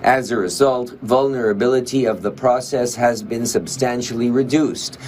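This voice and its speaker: Male reporter, Filipino accent, average pitch, reading a news package with slight noise behind. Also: steady tone, moderate pace, a little mellow. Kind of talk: scripted news narration.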